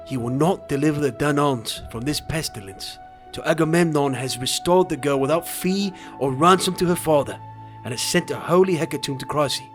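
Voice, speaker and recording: A man's voice: a little high, moderate pace, pitched above the performer's own voice, lightly acted. A man voicing a solemn, bold seer in a dramatized epic audiobook, declaiming grave pronouncements over music. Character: solemn, bold male seer speaking for a god.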